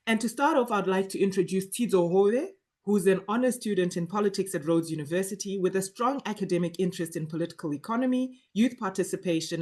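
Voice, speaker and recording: A woman's voice: on the low side, a little mellow. A woman moderating an online panel forum, introducing speakers and reading out poll questions. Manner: brisk, reads out poll options.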